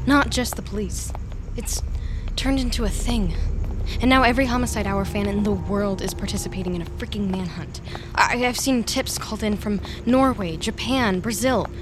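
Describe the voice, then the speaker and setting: A woman's voice: mid-range voice, moderate pace, background noise, natural voice. An actress in an audio drama playing an intense, jealous young woman who is insistent and pleading. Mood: urgent, insistent. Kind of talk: naturalistic audio drama acting.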